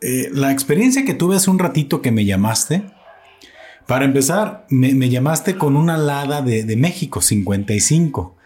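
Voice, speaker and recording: A man's voice: medium pitch, expressive, normal speed, a little mellow. A young man from Mexico hosting a casual podcast chat, talkative and fond of trying out accents. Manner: chatty, playfully imitates accents. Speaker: podcast host.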